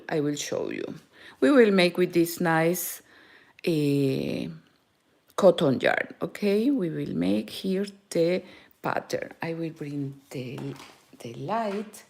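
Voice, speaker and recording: Woman, Peruvian Spanish accent, native Spanish speaker, crochet teacher, live tutorial, slow unhurried pace, halting speech with word-searching pauses. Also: mid-range voice. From Peru. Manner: halting, searching for words, frequent "eh" fillers.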